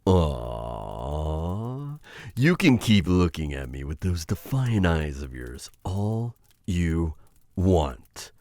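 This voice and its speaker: A man playing a menacing, conflicted witch hunter in an ASMR roleplay, addressing his captive in a halting, intimate, taunting way. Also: low voice, a little mellow, no room sound, heavily acted. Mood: mocking.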